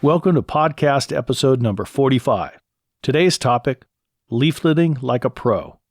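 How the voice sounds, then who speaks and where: A man's voice: mid-range voice, expressive, normal speed, dry recording, soft background music. An American man presenting a scripted solo podcast, giving practical step-by-step instruction as the host. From lives in California.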